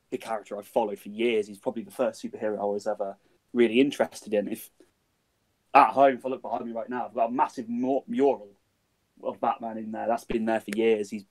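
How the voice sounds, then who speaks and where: A man's voice: medium pitch, low-quality recording. A man answering interview questions casually as a guest writer, rambling and correcting himself as he goes. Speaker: guest, audio drama writer.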